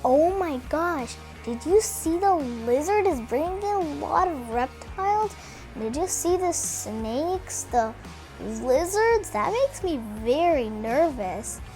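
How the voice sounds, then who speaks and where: Lady doing kids storytime, high voice, takes it slow, expressive, music playing behind her. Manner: expressive, addresses young listeners with questions. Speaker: children's storytime reader. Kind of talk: read-aloud storytelling with chatty asides to children.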